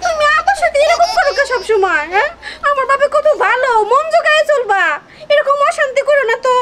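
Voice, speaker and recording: A woman's voice: very high-pitched, animated, brisk, heavy background noise. A woman playing a married village daughter in a comedy skit, whining and scolding. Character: married village daughter, whiny, fond of fruit. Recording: clear music behind.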